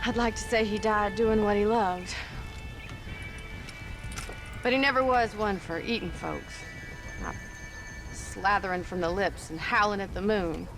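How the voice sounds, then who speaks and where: An actress playing a sharp-tongued, stubborn young frontier rancher woman in a dramatic video game cutscene. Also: mid-range voice, measured pace, pauses often, music in the background, lightly acted, poor audio. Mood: wry grief. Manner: sardonic, dramatic.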